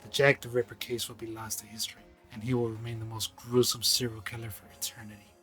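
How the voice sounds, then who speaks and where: A man playing a world-weary investigator in a radio drama, speaking in a grave, deliberate, dramatic way. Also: mid-range voice, level tone, pushed and bright, soft background music, lightly acted.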